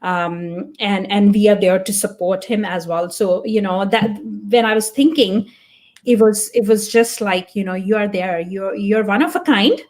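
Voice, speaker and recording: A woman's voice: mid-range voice, measured pace, darkish tone. A woman whose first language is Punjabi, speaking as a podcast guest in an earnest, reflective way, thinking aloud. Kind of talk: casual interview talk.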